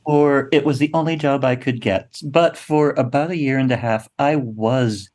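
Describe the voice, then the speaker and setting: Wry man, mid-pitched, chatting as guest. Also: fairly even intonation, normal speed, darkish tone. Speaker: guest playwright. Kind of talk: casual interview chat.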